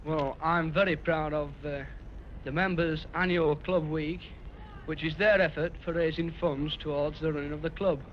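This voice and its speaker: A man's voice: a little high, fairly even intonation, normal speed. A young man answering questions in a 1950s radio interview. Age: young adult. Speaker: youth club captain interviewed. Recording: muffled, noisy 1950s radio recording.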